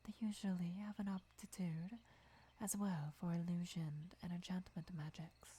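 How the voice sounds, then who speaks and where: A woman playing a gentle, devoted vampire lover who teaches patiently in a breathy, measured whisper for an ASMR roleplay. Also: fairly low pitch, steady tone. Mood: calm, instructive. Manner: measured, deliberate, soft-spoken explaining.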